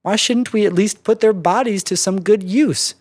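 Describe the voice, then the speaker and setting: A story reader voicing a calm, matter-of-fact female cartoon pony, measured and reasonable as she explains. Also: medium pitch, voice pushed up, close to an everyday voice.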